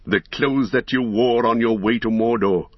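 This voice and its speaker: A man voicing a wise, kindly old wizard in a storybook, speaking in a measured, formal, gently ceremonious way. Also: mid-range voice, no room sound, highs cut off, lightly acted.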